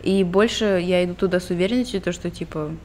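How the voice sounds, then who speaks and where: Young woman, from Belarus, lowish pitch, chatty, faint background noise. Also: steady tone, quick pace, darkish tone. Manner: chatty, laughs often, mixes in English words. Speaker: podcast co-host. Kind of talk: casual chat.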